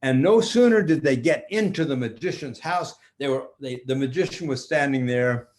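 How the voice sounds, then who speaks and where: An older man improvising a children's story like a grandpa, theatrical, with pauses and restarts. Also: medium pitch, darkish tone.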